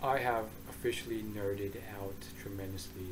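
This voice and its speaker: A man giving a casual, rambling review monologue, hoarse and excitable, often restarting his sentences. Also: on the low side, moderate pace, noisy background. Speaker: manga reviewer.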